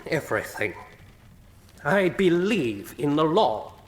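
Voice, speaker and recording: A high male voice rings in a big reverberant space as a pompous Victorian official. His delivery is stern, blustering and emphatic, swinging widely in pitch, in old-style radio drama fashion. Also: sing-song, slow, a little hiss or hum. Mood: stern, accusing. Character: stern, indignant, by-the-book Victorian official, a titled gentleman. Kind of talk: full-cast radio drama acting. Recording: reverberant hall sound.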